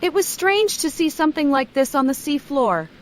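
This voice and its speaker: A woman's voice: high voice, lively intonation, moderate pace, slight background noise. A woman narrating a scripted children's bedtime story.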